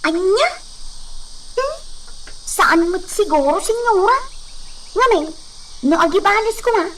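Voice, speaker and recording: Very high-pitched gossipy maid. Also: female voice, expressive, unhurried, a bit bright, slight background noise, exaggerated voice. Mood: gossiping, concerned. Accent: Filipino, Ilocano. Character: gossipy, deferential maidservant reporting to her mistress. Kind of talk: radio drama acting.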